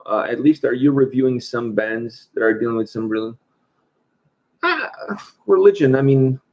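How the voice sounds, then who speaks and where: A man's voice: medium pitch, lively intonation, moderate pace, lo-fi sound. Chatty man hosting a livestream. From Portugal. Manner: chatty, reads viewer questions aloud. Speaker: host. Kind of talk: casual livestream chat.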